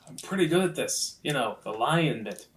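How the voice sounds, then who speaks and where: A man chatting casually as a player in a tabletop role-playing game. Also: mid-range voice. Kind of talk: casual banter.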